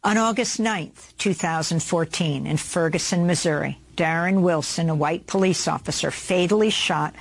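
A woman news anchor reading scripted narration in a measured, formal way. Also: lowish voice, slightly rough.

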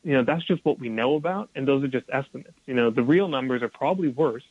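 A man's voice: medium pitch, fast talker, breathy edge, no echo. Man talking loosely over a dull line. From United States, Colombian family. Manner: loose, many fillers and restarts. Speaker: documentary filmmaker guest. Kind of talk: casual explanation in an interview.

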